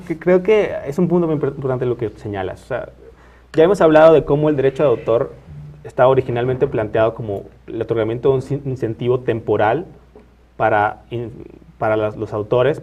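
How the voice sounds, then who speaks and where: The sound is a little dull. A man with a middle-pitched voice explains his point as a panelist, conversational and somewhat hesitant. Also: brisk, darkish tone. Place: internet TV studio. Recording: slightly dull, muffled sound.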